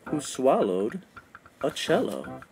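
A man's voice: mid-range voice, huge pitch swings, slow, gravelly, darkish tone, slight background noise. A man reading a children's storybook aloud in a rhythmic, sing-song way. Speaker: children's book read-aloud narrator. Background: instrumental music.

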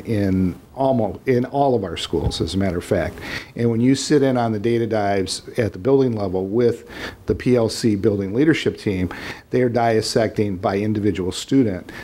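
A middle-aged man, a school administrator, giving measured explanations at a board meeting through a desk microphone. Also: fairly low pitch, a little mellow.

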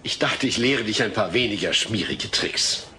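A man's voice: fairly low pitch, lively intonation, moderate pace. A man playing an earnest, patient middle-aged father with a slightly stilted manner in a comedy audio drama. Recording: noisy old cassette audio drama.

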